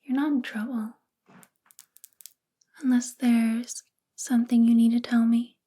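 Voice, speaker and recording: Woman acting a controlling female boss, ASMR roleplay, high breathy whisper, very even intonation, coaxing, intimate, possessive. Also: a little slow. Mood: sweetly controlling. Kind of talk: soft-spoken ASMR roleplay, often whispering.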